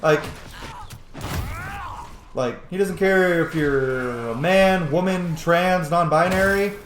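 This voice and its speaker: A man's voice: a little high, takes it slowly, fairly bright tone, echoey room, a little hiss or hum. A man giving casual, chatty gameplay commentary, exclaiming and swearing as he plays. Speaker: let's player narrating gameplay.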